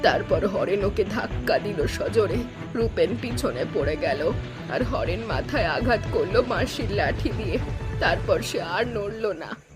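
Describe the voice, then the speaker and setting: Elderly mother-in-law female voice actor, sorrowful and burdened, slow heavy delivery, cartoon drama with music bed. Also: mid-range voice, animated, lightly acted. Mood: guilt-laden. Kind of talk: Bengali cartoon melodrama voice acting.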